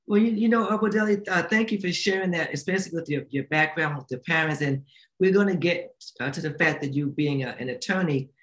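A man's voice: a little high, brisk, fairly bright tone. A man hosting a podcast, politely asking a guest questions with hesitant fillers. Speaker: podcast host. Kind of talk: interviewing a guest. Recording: echoey room.

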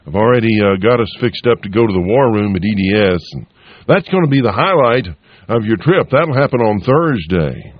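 Folksy male radio host, lively and a bit husky, dull lo-fi broadcast sound, homey drawl. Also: lowish voice, normal speed, no room sound. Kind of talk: folksy on-air promo and appeal for donations.

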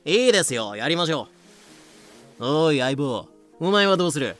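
A man voicing an excitable, deferential pirate crewman with slangy speech in a fan-made voice drama. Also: fairly high pitch, normal speed, soft background music. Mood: eager.

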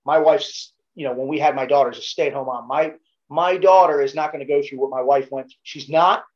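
A man's voice: medium pitch, steady tone, a little fast. A middle-aged man with a Baltimore accent chats casually as a podcast guest, rambling through stories with plenty of swearing. His voice sits in a middle range. The recording sounds slightly muffled and lo-fi. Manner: profane, storytelling, laid-back. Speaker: podcast guest, former Navy SEAL. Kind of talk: casual chat.

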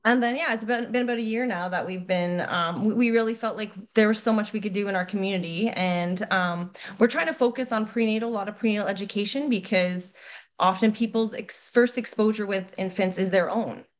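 A woman's voice: mid-range voice, fairly quick. Earnest woman explaining. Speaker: lactation consultant guest. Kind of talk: casual podcast conversation.

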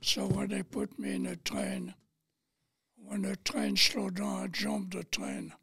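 Really old french guy in his 90s, gravelly cracked voice, talks slow, telling stories from his life. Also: rumbling low, huge pitch swings, bright, forceful tone, no room sound, clean studio sound. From Paris, France. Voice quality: raspy, thin, breathy. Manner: plain, halting storytelling in non-native English. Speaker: interview guest, war veteran. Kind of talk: recounting personal memories in interview.

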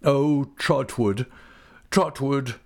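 Man acting an anguished, broken older gentleman, pitched high. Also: expressive. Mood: anguished, self-loathing. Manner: impassioned, lamenting, drawn-out.

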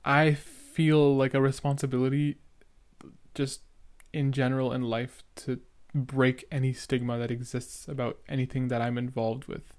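A man's voice: medium pitch, little pitch movement, normal speed, darkish tone. Young man with a stutter, rambling. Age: young adult. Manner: earnest, rambling, filler-heavy. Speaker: podcaster reacting to his own episode. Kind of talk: casual reaction commentary.

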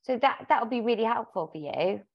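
A woman in her thirties co-hosting a podcast, talking in an animated way with many likes. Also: fairly high pitch, a little fast, creaky. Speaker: podcast co-host. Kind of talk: casual chat. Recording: dull lo-fi sound.

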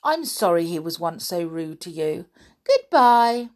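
A woman's voice: lowish voice, very animated, a little slow, darkish tone. A woman voicing a sly, bossy girl doll in a children's story, gushing and mock-polite. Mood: smug.

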